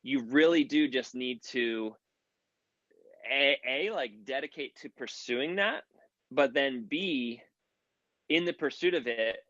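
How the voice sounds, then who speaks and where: A man's voice: medium pitch, takes it slowly, long pauses, a bit bright, no room sound, dull audio. A man giving advice in a casual audio room chat, earnest and rambling, with many "like" fillers. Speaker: guest creator giving advice.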